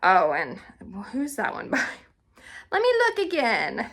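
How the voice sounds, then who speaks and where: A woman's voice: fairly high pitch, huge pitch swings, slow, gravelly, fairly bright tone. A woman hosting a casual book vlog, talking informally and chattily, often correcting herself as she goes. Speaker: book content creator and host.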